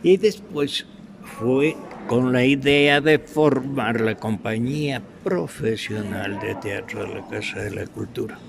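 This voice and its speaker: Slow, gravelly older Ecuadorian man. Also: medium pitch, a little hiss or hum. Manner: halting, searching for words. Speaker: veteran radio drama actor, interviewee. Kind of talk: reminiscing in an interview.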